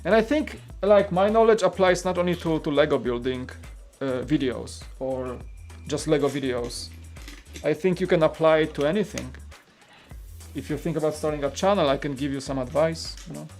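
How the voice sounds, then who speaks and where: A man from Poland with a Polish accent, hosting a live stream in a casual chat and reading out viewer comments. He talks in a rambling, relaxed way with many fillers and restarts. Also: fairly high pitch, normal speed, soft background music.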